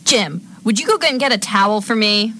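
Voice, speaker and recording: A woman playing a mean captor in a drama, with a deliberate delivery and falsely sweet menace. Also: medium pitch, animated, sharp tone. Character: mean woman falsely soothing, then threatening, her captive. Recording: noisy amateur room recording.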